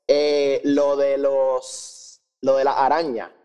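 A man co-hosting a podcast, speaking casually and haltingly, repeating words and mixing Spanish with English. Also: medium pitch, monotone, mellow, clean tone, faint music behind. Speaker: podcast co-host. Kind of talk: casual conversational review.

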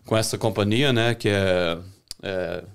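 A young Brazilian man with a Brazilian Portuguese accent, a chef chatting as a podcast guest in a relaxed way, often restarting his sentences. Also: lowish voice, a little slow, gravelly, clean tone. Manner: relaxed, talks with many restarts and self-corrections. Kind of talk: casual interview chat.

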